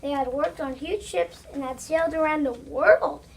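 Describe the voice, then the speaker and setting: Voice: high voice, normal speed, vocal fry. A child narrating a scripted story aloud as part of a reader's theater. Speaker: student narrator. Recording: distant mic, noisy room ambience.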